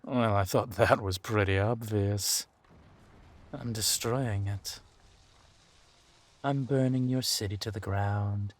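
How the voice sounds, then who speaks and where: A man playing a ruthless, mocking warrior villain in a fantasy audio drama, with a husky voice and a deliberate, taunting delivery. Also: on the low side, fairly even intonation. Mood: cold menace.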